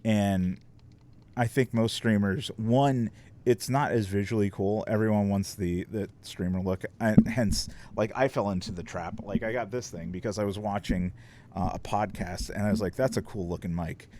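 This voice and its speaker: Male streamer, low-ish voice, loose profane casual chat. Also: fairly even intonation, normal speed, a little mellow, slight background noise. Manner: rambling, profane, lots of fillers. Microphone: desk microphone.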